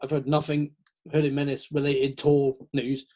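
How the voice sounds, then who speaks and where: A man's voice: medium pitch, completely flat delivery, unhurried, mellow. A man in a casual, rambling, jokey chat, acting out little quotes as he goes. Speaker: host of a metal chat show.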